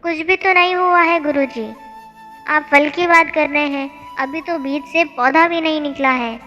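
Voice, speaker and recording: कहानी में एक महिला चिढ़े हुए, अधीर और बहस करने वाले युवक का किरदार बोल रही है।. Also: female voice, high voice, steady tone, unhurried, sharp tone, muffled recording. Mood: irritated. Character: impatient, irritated young man, restless disciple. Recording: long hall reverb, music bed.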